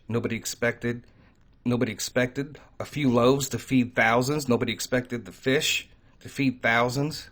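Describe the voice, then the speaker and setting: Male host, mid-range voice, casual preaching monologue, earnest and rambling. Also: medium pitch. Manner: earnest, rambling, pauses to stress key words. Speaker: host of a faith and crypto channel.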